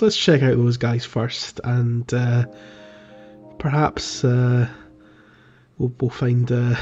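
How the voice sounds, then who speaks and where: Guy doing a casual gaming podcast, kinda hesitant and measured, bit of a dull lo-fi mic. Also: medium pitch, darkish tone, husky. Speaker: podcast co-host. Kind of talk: casual video game playthrough podcast chat.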